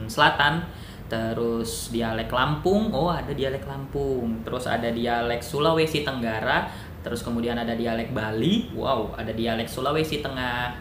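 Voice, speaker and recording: A man casually explaining words in an educational video, thinking aloud with fillers, self-corrections and jokey asides. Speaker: educational YouTuber. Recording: echoey room with noise and rumble.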